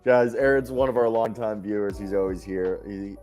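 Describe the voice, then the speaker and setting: A young man with a fairly low voice, co-hosting a live basketball show in loud, animated, casual chat. He laughs often as he talks. Faint music plays underneath. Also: moderate pace.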